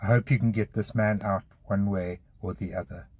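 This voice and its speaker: Low-voiced man making an earnest appeal. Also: fairly even intonation, normal speed, darkish tone, slight background noise. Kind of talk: direct address to camera.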